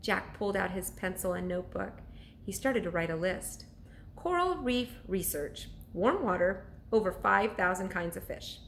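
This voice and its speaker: A woman reading a children's story aloud like a teacher to pupils, with expressive, deliberate narration. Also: mid-range voice, gravelly, a little hiss or hum.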